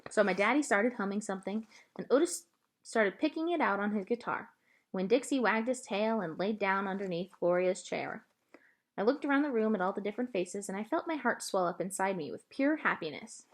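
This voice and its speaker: Expressive woman reading a story aloud. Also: medium pitch, a little fast. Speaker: storybook reader. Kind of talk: expressive read-aloud narration of a children's novel.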